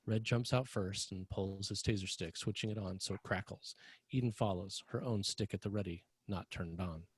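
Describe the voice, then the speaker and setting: Male table-read narrator: deeper, husky, dry sound, scripted delivery of stage directions. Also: fairly even intonation, moderate pace, dry recording.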